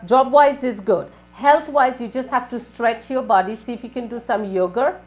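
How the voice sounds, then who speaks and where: A woman's voice: a little high, normal speed, faint music behind. A woman working as a spiritual advisor on a live call-in show, giving advice in a repetitive, questioning way.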